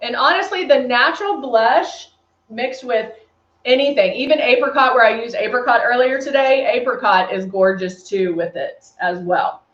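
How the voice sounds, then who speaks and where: A woman's voice: on the high side, normal speed, a bit bright. A woman with a Texan accent, presenting a live makeup tutorial and chatting with viewers' comments as she works. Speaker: beauty brand presenter. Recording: echoey room, dull lo-fi stream audio.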